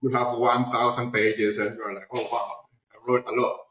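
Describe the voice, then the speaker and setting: A man speaking casually as an interview guest, telling stories in an animated way with quoted asides. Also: medium pitch, normal speed. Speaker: interview guest, publishing platform founder. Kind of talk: casual conversational interview answers. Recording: roomy, echoey sound.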